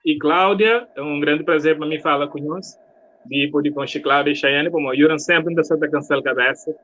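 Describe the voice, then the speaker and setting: A man's voice: on the high side, steady tone, fast, fairly bright tone. A man with a Cape Verdean accent hosting a casual live online interview in an informal, welcoming way. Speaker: host. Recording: dull, lo-fi live stream sound.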